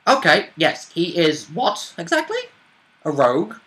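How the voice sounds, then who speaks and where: A man's voice: on the high side, huge pitch swings, a little slow, pushed and bright. A man playing a tabletop roleplaying game, chatting casually and informally with mild swearing.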